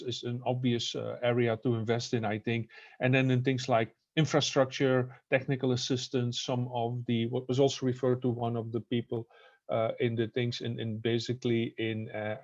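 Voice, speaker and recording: A man giving an unscripted conference answer in halting, piecemeal phrases over an online call. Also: medium pitch, highs cut off. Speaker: forum speaker.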